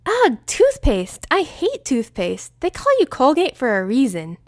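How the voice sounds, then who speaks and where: A woman's voice: high voice, wildly swooping pitch, a little slow, dry recording, studio quality, heavily acted. A woman voicing a hyper, bubbly female cartoon pony obsessed with candy, squealing and bouncy in manner. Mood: disgusted.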